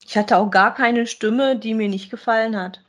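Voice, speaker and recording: A woman's voice: fairly high pitch, steady tone, moderate pace. A woman, co-hosting a podcast and chatting casually as she gives a review. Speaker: podcast co-host.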